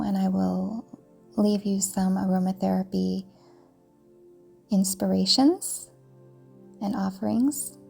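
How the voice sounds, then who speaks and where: A woman yoga teacher narrating a guided meditation in a soft, breathy, soothing way, deliberately, with pauses. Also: mid-range voice. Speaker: yoga nidra teacher guiding a practice.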